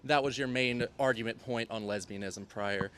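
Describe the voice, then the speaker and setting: A man's voice: mid-range voice, moderate pace, fairly bright tone, slight background noise. A young man speaking politely and conversationally as he puts questions in an unscripted debate. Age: young adult.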